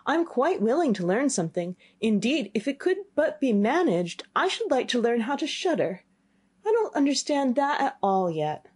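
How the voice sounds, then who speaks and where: A woman's voice: sits high, sing-song, moderate pace, a little mellow, slightly rough, pitched above the performer's own voice, exaggerated voice. A woman voicing a naive, fearless young man who talks in an eager, raised voice.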